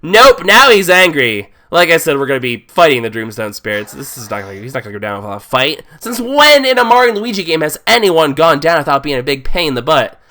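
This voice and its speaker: A man hoarse from a cold talks through a game, his voice nasal and raspy but still bright and keen. His pitch sits a little high and swings widely as he jokes and thinks aloud. Faint game music plays behind him. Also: sing-song, fast, few pauses. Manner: playful, jokey, thinks aloud. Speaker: let's play host. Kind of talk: casual gameplay commentary.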